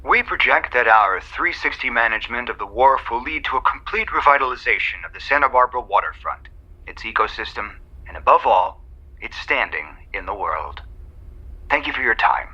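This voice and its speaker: A businessman pitching formally in an audio soap opera. His voice is very forceful and raspy, his delivery rehearsed. The recording is a little band-limited. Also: expressive, normal speed, highs cut off, full character voice.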